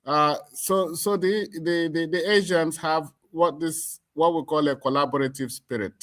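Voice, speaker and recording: A middle-aged Nigerian man with a Nigerian accent, speaking as an interview guest in a deliberate, emphatic way, repeating words as he forms his points. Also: on the high side, fairly even intonation, fairly bright tone. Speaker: community association chairman, interview guest. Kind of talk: explanatory interview talk.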